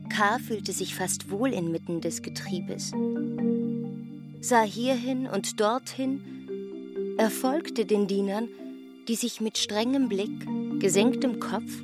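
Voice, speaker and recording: A woman narrating a literary radio drama as a calm observer, in a deliberate storytelling manner. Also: medium pitch, close to an everyday voice. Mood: calm, observant. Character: female narrator, calm watcher of a bureaucratic bustle. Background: prominent music.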